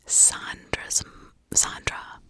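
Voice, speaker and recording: An American woman whispering an ASMR true crime story in a hushed, informal, conversational way. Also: very slow.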